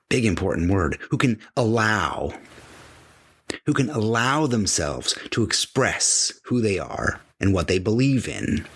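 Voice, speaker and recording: A middle-aged man with a low, husky voice speaks in an emphatic motivational monologue. His pitch swings widely as he repeats key phrases and slips into the voices of imagined people to make his point. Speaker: podcast host.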